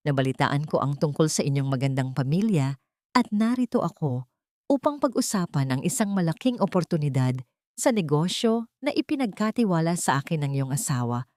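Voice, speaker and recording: A brooding, vengeful billionaire man in an audio drama, acted out by a woman narrator. The speech is controlled, cold and deliberate, pitched slightly low for a female voice, in a dry recording. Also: a little mellow, dry recording, close to an everyday voice. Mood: smooth, controlled.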